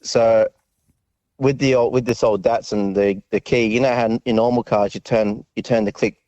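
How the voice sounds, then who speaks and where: A man's voice: lowish voice, steady tone, moderate pace, mellow, no echo. A man, a radio caller telling a casual anecdote and explaining it step by step. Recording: muffled, dry phone-in line.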